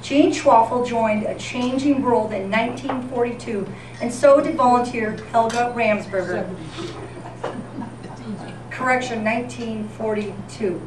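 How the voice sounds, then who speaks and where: Woman, slightly high voice, tongue-in-cheek scripted radio narration, distant mic, noisy live event. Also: a little slow, reverberant. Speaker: co-host of a live radio-themed tribute show.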